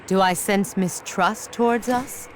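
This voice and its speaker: Slow-spoken, composed actress as a warrior woman. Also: mid-range voice, slight background noise, close to an everyday voice. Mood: calm, pointed. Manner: measured, politely probing. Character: composed, shrewd warrior woman, polite but teasing. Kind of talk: dubbed video game cutscene acting.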